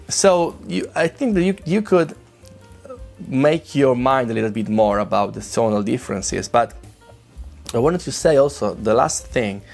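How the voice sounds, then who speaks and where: A man with an Italian accent chatting casually about guitars, his voice rough after a flu, hesitant with many restarts. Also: medium pitch, darkish tone. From Italy. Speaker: guitar gear reviewer. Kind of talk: casual demo chat to camera.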